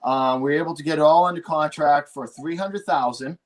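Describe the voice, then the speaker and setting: A man's voice: on the high side, fairly quick. A man with a Boston accent, telling a story as a guest in a chatty, upbeat way with lots of fillers. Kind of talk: casual storytelling.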